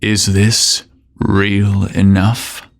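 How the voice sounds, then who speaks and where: Confident office heartthrob for romance podcast, played by a male narrator: tender, seductive, voice dropped low. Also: little pitch movement, drawn-out words, gravelly, exaggerated voice. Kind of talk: audio romance storytelling.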